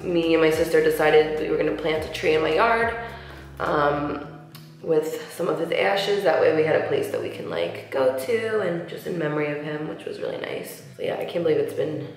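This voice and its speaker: A woman's voice: deep voice, moderate pace. Chatty woman in an echoey bathroom. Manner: chatty, lots of "like", soft asides while applying makeup. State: slightly nasal, getting over a cold. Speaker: beauty vlogger. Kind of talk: casual makeup tutorial chat. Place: large tiled bathroom, indoors. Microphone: camera mic at close range, seated facing camera. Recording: echoey bathroom with faint music.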